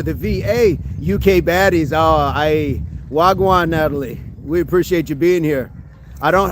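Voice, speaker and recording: A man's voice: fairly high pitch, a little hiss or hum. A man hosting a fitness livestream outdoors, chatting upbeat with viewers and reading their comments from a phone. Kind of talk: casual livestream chat with viewers. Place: outdoors among trees.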